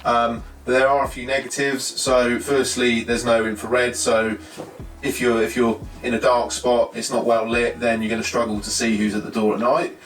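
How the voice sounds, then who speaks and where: A man's voice: medium pitch, flat delivery, normal speed, fairly bright tone. Echoey British man reviewing tech. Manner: hesitant, thinking aloud in short fragments. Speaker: presenter of a tech product review. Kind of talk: casual unscripted review talk. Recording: roomy echo, distant mic, faint music.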